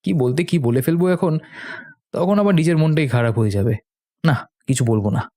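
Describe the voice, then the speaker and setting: A man's voice: fairly high pitch, normal speed, mellow, voice pushed up, lightly acted. A man voicing a polite, uneasy young city man in a horror cartoon, hesitant and slightly anxious. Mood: wary.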